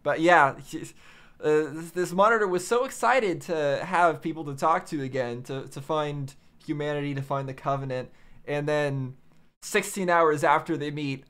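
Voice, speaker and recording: A man giving casual reaction commentary, thinking aloud in a halting way and repeating phrases. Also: fairly high pitch, a bit bright, clean tone. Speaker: reaction video host.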